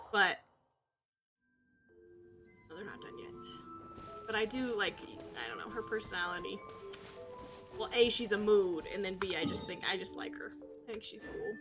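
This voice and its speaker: A woman streaming a video game, giving casual, rambling commentary in an informal, musing way. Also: medium pitch. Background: music.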